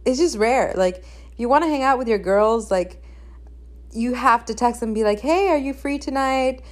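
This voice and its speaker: A woman's voice: a little high, normal speed. A young woman hosting a podcast in a casual, candid chat, repeating phrases for emphasis, a native Spanish speaker. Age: young adult. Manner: chatty, candid, emphatic with repetition. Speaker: podcast host.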